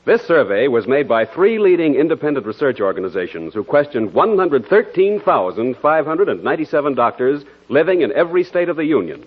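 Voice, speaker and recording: A man's voice: a little high, big pitch swings, a little fast, hardly any pauses. A man delivering a scripted 1940s radio commercial as an announcer. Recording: tinny, narrow-band old radio.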